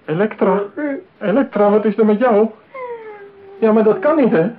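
A man's voice: high-pitched, moderate pace, lightly acted. Actor as lonely possessive man, tinny old recording. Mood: panicked, despairing. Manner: theatrical, talking aloud to his silent bride. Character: lonely, possessive man besotted with his mechanical bride. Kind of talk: radio play acting. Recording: tinny narrow-band radio play, clear hiss.

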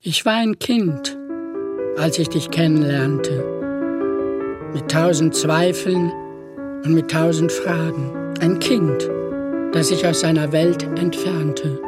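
A woman's voice: low voice, slow pace, long pauses. A woman reciting rhymed poetry in the style of a literary reading. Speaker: poetry reader. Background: prominent piano music.

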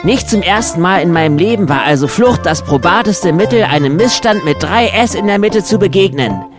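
Wry male storyteller over clear music. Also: high voice, lively intonation, a little fast. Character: wry first-person storyteller planning to emigrate. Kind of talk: scripted narration.

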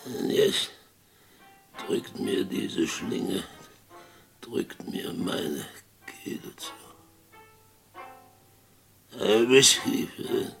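An actor playing a guilt-tormented Western sheriff in a 1960s radio play, halting and anguished, with a breathy, gravelly voice that often drops into whispers. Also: male voice, low voice, animated, fairly bright tone, exaggerated voice. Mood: haunted, tormented. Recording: 1960s radio drama with music underneath.

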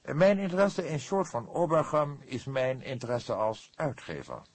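Audiobook role, acted by a man: self-important male publisher, formal, touchy, raised pitch, swooping intonation. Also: animated, unhurried, a little mellow, dry recording. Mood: formal, proud. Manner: formal, emphatic, pompous. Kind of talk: Dutch audiobook character voice.

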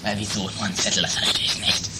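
A woman playing a shady, jittery male tramp and jailbreaker with a hoarse, whispery voice in an improvised audio drama. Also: rumbling low, level tone, fast, over loud music. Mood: jittery, scheming. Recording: noisy home-made recording.

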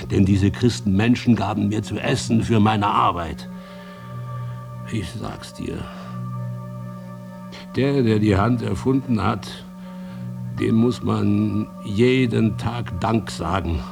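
A maimed soldier and former prisoner in a radio drama. His low voice creaks with gravel as he broods and stresses his words in a grim, theatrical monologue. Music plays clearly behind him. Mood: wistful, reverent. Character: maimed soldier, ex-prisoner, grimly mourning his lost hand.

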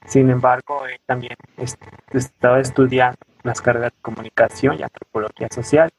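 Casting: young Mexican man, podcast guest, explanatory and conversational, frequent tag questions, average pitch. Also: little pitch movement, a little fast, vocal fry, a little hiss or hum, rough recording. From coastal Oaxaca, southern Mexico. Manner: explanatory, often ending phrases with a questioning tag. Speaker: podcast guest, student and weaver. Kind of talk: conversational interview answers.

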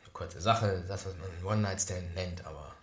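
Low-voiced, halting husband, noisy background. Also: male voice, steady tone, fairly bright tone, reverberant. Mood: uneasy, subdued. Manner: halting, understated, stiffly formal wording. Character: reserved, awkward husband with a troubled marriage. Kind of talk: improvised, naturalistic radio comedy acting.

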